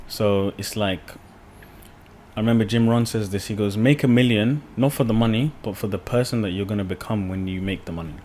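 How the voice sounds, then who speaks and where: A young man from the UK talks as a podcast guest, loose and rambling, leaning on "like" and "you know". His voice sits a little low. A faint hiss runs under the recording. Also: fairly quick. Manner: relaxed, rambling, many fillers like "like" and "you know". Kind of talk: casual podcast conversation.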